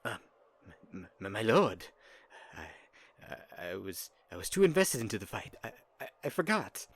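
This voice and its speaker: A man's voice: on the low side, sing-song, measured pace, a bit bright, husky, voice pushed up, full character voice. A man voicing a cowed orc commander in a fantasy audio roleplay, stammering and grovelling in panic. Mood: panicked pleading. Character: cowed male orc commander, pleading underling.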